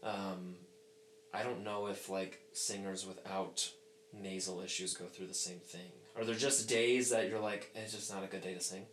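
A man's voice: deep voice, normal speed, echoey room, a little hiss or hum. Stuffy-voiced man hosting a podcast. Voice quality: stuffy on one side. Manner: relaxed, chatty, laughs easily. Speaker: podcast host. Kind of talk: casual conversation.

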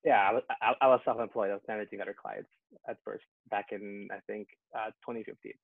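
A man's voice: on the low side, fairly even intonation, fast talker, gravelly, no room sound. A young man from Peru who grew up in Canada, talking casually and upbeat in an interview, with frequent ums. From Peru, raised in Canada. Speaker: guest, software engineer. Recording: tinny phone-like line, dry.

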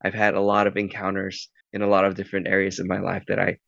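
Korean man, low gravelly voice, thoughtful, halting, dull lo-fi sound. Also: completely flat delivery, a bit bright, dry recording. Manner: thoughtful, many fillers and restarts. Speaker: guest. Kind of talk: interview answers.

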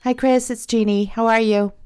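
A middle-aged woman hosting a podcast, chatting animatedly and a little self-deprecatingly, her voice hoarse from a cold. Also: fairly high pitch, steady tone, normal speed, darkish tone. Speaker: podcast host. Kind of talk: casual podcast chat.

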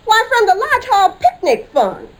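An actress playing a trusting, chirpy wife in a 1950s radio sitcom, with an emphatic sing-song delivery. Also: female voice, extremely high pitch, wildly swooping pitch, unhurried, heavily acted, poor audio. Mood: cheerful, insistent. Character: trusting, chirpy wife eager for lodge picnic.

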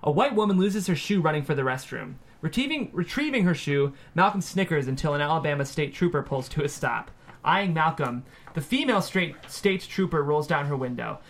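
A man narrates a screenplay at a table read. His voice is fairly high for a man, and he delivers scene headings and action lines as scripted narration. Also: lively intonation, brisk, fairly bright tone. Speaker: stage-direction reader.

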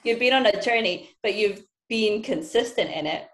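A woman's voice: medium pitch, normal speed. A Scottish woman in her thirties, a podcast guest chatting casually with a witty, teasing, blunt manner. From Scotland. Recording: roomy echo.

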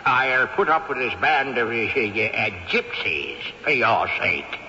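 A man's voice: medium pitch, big pitch swings, takes it slowly. A man playing a crusty, wealthy old male ghost in a radio drama, speaking in a haughty drawl with chuckling asides. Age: older. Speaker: ghost character. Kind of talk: 1970s radio drama acting. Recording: dull old radio broadcast, faint hiss, echo.

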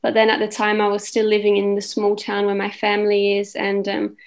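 A Dutch-born woman in her twenties, with a mixed Australian and London accent, chatting relaxed as a podcast guest with many ums. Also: medium pitch, fairly quick, creaky. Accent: Australian-English mix. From Netherlands. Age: 20s. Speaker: guest model. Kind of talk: casual interview chat.

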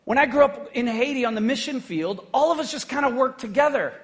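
High-voiced joking male pastor. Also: expressive, brisk. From United States. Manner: conversational, joking, asks the congregation "right?". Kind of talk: sermon.

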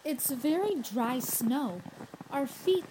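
A woman's voice: high voice, expressive, takes it slowly, mellow, slight background noise, rough recording. A woman playing a prim, sensible young woman in an old-time radio drama, speaking properly and matter-of-factly. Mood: brisk. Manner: proper, reproving, matter-of-fact.

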